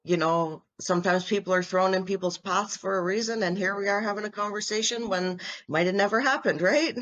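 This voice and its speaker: An effusive woman hosting a podcast interview. Her talk is casual and loose, full of "like" and "um" as she reacts to her guest with delight. Her pitch sits in a middle range. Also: a little fast, a bit bright, highs cut off. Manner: gushing, enthusiastic reactions; uses many fillers like "like" and "um". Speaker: podcast host.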